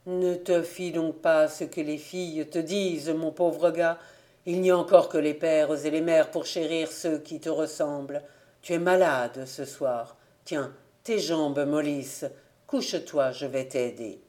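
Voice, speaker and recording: A woman voicing a gentle, weary old farmer father who speaks softly and patiently, with sorrow, in a storybook. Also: low voice, fairly even intonation, unhurried. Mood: tender, pitying.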